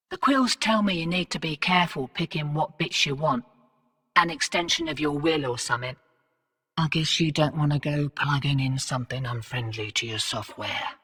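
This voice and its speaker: A woman's voice: sits low, bright, forceful tone, big-room sound, heavily acted. An actress voicing a cocky female rogue fortune hunter and arms dealer in a video game, cheeky, slangy and sardonic. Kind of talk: video game character voice lines.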